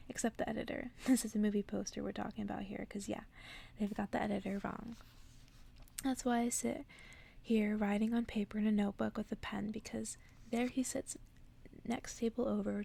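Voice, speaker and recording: Creaky, whispering ASMR woman. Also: fairly high pitch. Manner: whispering, soft-spoken. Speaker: ASMR host reading stories aloud. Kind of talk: ASMR bedtime story reading. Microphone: close ASMR microphone.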